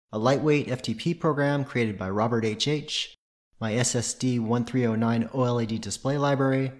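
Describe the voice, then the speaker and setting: A man presenting a tutorial, reading scripted technical narration in a methodical, matter-of-fact way. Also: medium pitch.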